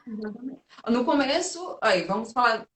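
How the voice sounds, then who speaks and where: Mulher brasileira contando histórias, sala com eco. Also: female voice, fairly high pitch, normal speed, echoey room. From Brazil. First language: Portuguese. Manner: lively storyteller, animated quoting. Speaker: physiotherapist and teacher. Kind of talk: casual live-stream interview.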